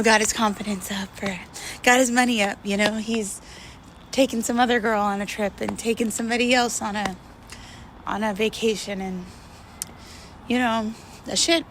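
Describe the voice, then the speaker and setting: A woman talking in a casual, confessional monologue, candid and halting, repeating words for emphasis. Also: on the high side, a bit bright, a little hiss or hum. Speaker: vlogger.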